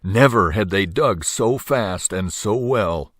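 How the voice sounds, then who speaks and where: Older man reading a storybook, very animated. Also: lowish voice, takes it slowly, dry recording, clean studio sound. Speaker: storybook reader. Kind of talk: expressive read-aloud of a children's story.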